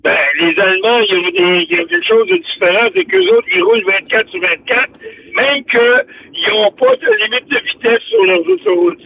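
Distant, dull-sounding older Quebec man, high-voiced. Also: huge pitch swings, moderate pace, gravelly. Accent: Quebec French. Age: 60s or older. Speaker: radio show host. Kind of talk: casual reminiscing chat on radio.

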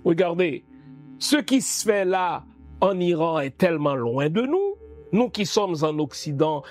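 A man's voice: high voice, sing-song, a little slow, darkish tone, faint music behind. A man from Haiti giving geopolitical commentary in a didactic, emphatic, rhetorical manner. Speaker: political commentator.

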